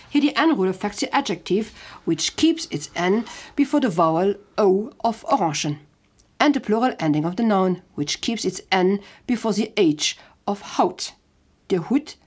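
A woman's voice: medium pitch, slightly rough. A woman teaching in a scripted language lesson, giving examples carefully word by word in a didactic manner.

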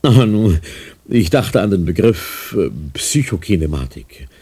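An eager, calculating scientist lays things out in a radio play. The voice is husky and mellow with lively swings in pitch. The manner is officious, pausing to search between points. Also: male voice. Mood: insistent, eager. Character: eager, calculating male research scientist addressing his chairman. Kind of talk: radio drama acting.

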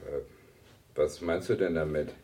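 An actor playing a wry male university lecturer in a radio drama, speaking in a hesitant, deliberate, dryly ironic way. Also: rumbling low, steady tone, mellow. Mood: puzzled. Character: Lars, measured, wry male university lecturer leading a seminar. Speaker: seminar lecturer.

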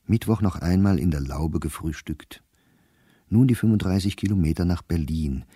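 A middle-aged male diarist with a very low, dark voice. He reads his entries for a radio play in measured, clipped phrases, precise and dryly wry, with a husky edge. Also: no room sound, studio quality. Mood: wry, reflective. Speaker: actor reading a diary. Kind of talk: literary diary narration in a radio play.

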